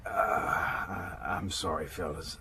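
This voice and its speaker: An actor playing a forgetful, apologetic old retired detective, halting and gravelly, in a radio drama. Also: male voice, very deep voice, steady tone. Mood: apologetic, hesitant. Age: older. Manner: halting, hesitant. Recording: noisy ambient background.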